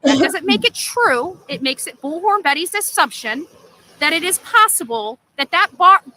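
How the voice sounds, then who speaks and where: A woman's voice: extremely high pitch, animated, normal speed, pushed and bright, poor audio. A woman in a casual livestream, rambling through explanations and often checking that listeners follow. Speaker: livestream amateur sleuth.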